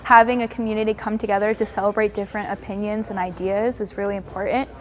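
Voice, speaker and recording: A woman's voice: medium pitch, fairly even intonation, fast, slight background noise. A teenage girl on a student organizing team, explaining their ideas enthusiastically in a video interview.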